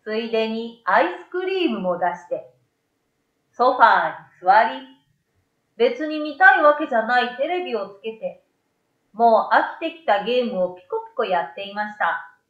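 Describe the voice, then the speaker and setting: A woman's voice: a little high, expressive, normal speed, a bit bright. A middle-aged woman narrating a children's story from a script into a desktop microphone in a small room. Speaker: story reader. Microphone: desktop condenser microphone. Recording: roomy, slightly dull sound.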